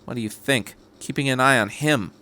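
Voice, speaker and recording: A man's voice: medium pitch, fairly quick, pushed and bright, natural voice. Man reading as a curt female security agent. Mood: disdainful. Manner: cool, disdainful, resigned.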